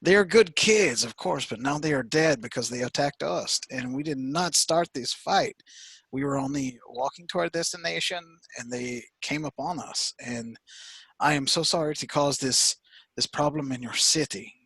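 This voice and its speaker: A man voicing an earnest, proud male traveler who speaks in a deep, formal, stiff way. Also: animated, normal speed, gravelly, fairly bright tone, husky, dry recording, full character voice. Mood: pleading.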